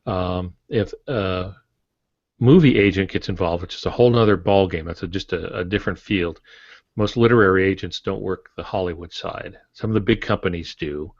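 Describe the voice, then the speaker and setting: An American man in his sixties giving plain-spoken, halting advice in a conversational podcast style on a video call. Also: deep voice. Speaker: guest author and publishing expert. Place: home office, indoors. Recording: dull, roomy video-call audio.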